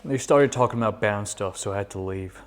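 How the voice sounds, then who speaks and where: A man's voice: lowish voice, a little fast, a little hiss or hum, close to an everyday voice. A man acting a dim, easygoing, rambling rocker in a sitcom table read. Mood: joking.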